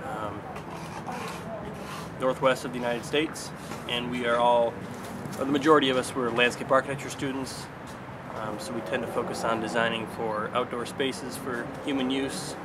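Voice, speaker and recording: A young man in his twenties describes his student group, pausing often on "um". Heavy traffic noise fills the outdoor recording. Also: mid-range voice, steady tone. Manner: hesitant, with frequent "um" pauses. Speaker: landscape architecture student. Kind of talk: on-site interview.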